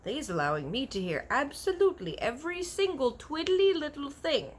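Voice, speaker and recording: A woman's voice: sits high, big pitch swings, fairly quick, voice pushed up. A woman voicing a kindly, big-eared storybook giant who is earnest, proud and easily offended, talking in folksy broken grammar with invented words. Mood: boastful, insistent. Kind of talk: animated children's storybook character voice.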